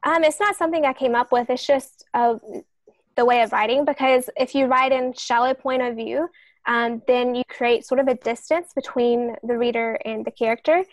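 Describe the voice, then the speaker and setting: A woman's voice: a little high, steady tone, normal speed, dry recording. A woman on a podcast panel explaining conversationally, in an earnest and somewhat hesitant manner.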